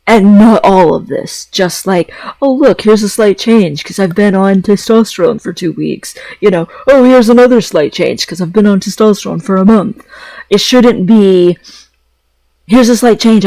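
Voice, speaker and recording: A man's voice: high-pitched, moderate pace, gravelly, darkish tone, dry recording, poor audio. A man talking in a casual, rambling vlog style, hesitant, often repeating phrases and trailing off mid-thought. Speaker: vlogger.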